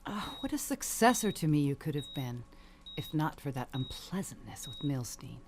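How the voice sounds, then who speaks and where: A woman playing an elderly, dying perfume matriarch in an audio suspense drama, genteel, measured and sly, with cutting endearments. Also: deep voice, big pitch swings, background noise. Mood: wistful, scheming.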